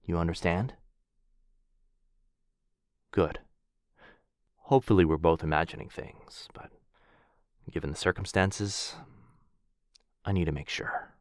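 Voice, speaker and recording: A man's voice: low-pitched, fairly even intonation, slow pace, long pauses, a little mellow, no room sound, clean studio sound. A man playing a courtly, protective vampire lord, tender but fierce, in an intimate boyfriend ASMR roleplay. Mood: hushed concern.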